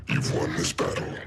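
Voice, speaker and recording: An actor voicing a huge, scornful game villain who gloats over humans with slow menace. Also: male voice, close to an everyday voice. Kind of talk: video game villain acting. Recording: very noisy game scene.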